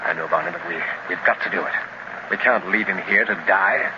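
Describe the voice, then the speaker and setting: An actor playing a calm, commanding adult leader of an adventure party in a 1930s radio drama, steadily giving orders. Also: male voice, deep voice, brisk, lo-fi sound, close to an everyday voice. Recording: scratchy old radio transcription with hiss.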